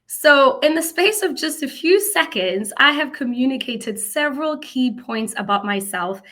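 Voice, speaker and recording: A woman's voice: fairly high pitch, bright, forceful tone. A woman from South Africa giving an upbeat, encouraging lesson to students on personal branding, with emphatic exclamations. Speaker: presenter. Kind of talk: instructional talk to students.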